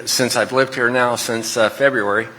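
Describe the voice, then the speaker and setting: A man's voice: medium pitch, steady tone, normal speed, pushed and bright, rough recording. A man with a Texan accent speaking as a panelist, casual and hesitant with frequent fillers. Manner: hesitant, conversational. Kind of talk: panel discussion.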